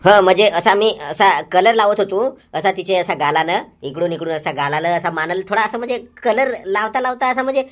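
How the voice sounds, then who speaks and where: A man's voice: high voice, brisk, few pauses, a little hiss or hum. A man voicing a battered, sheepish young village man in a comic cartoon, rambling and repeating himself in a rural Palghar Marathi accent. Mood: sheepish, defensive. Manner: rambling, repetitive storytelling. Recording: loud music behind the voice.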